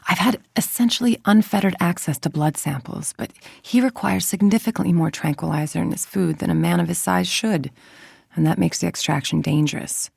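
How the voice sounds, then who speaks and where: A woman's voice: lowish voice, a little fast, vocal fry, a little mellow, slightly rough, no room sound, studio quality, full character voice. A woman playing a calculating, controlled female scientist villain in a video game, with articulate, formal and dryly condescending delivery. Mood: clinical, scheming. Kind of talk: scripted game monologue, dictated logs and letters.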